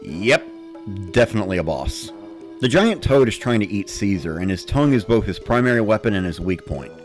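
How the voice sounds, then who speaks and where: Guy with a lowish voice doing a dramatic story reading, pretty animated, music under it. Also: a little fast. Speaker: narrator. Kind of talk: dramatic reading of a first-person gaming horror story.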